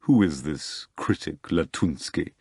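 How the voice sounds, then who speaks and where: A man voicing an imperious devil figure who speaks in a cold, dismissive, measured way. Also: very deep voice, lively intonation, gravelly, dark tone, breathy edge, no echo, studio quality, heavily acted. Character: imperious male devil master, dismissive and grave.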